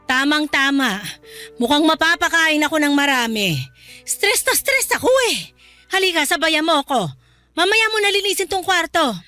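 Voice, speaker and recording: A woman's voice: high voice, animated, normal speed, sharp tone, no echo, faint music behind. A woman playing a worried, exasperated middle-aged mother in a Filipino radio drama, emotive and pleading. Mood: weary, stressed.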